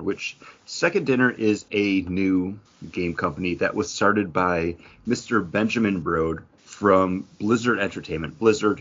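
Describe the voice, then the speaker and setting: A man's voice: low voice, fairly bright tone, lo-fi sound. A man hosting a casual podcast, chatting in an enthusiastic, conversational way. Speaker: podcast host.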